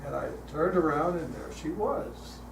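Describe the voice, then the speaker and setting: Older man, calm storytelling in an echoey hall. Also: a little high, measured pace, creaky. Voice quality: calm, relaxing voice. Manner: relaxed, chatty, frequent uh fillers and restarts. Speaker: guest speaker leading a guided meditation. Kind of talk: storytelling talk to a congregation. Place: church hall. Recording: echoey hall, heard from a distance, noisy.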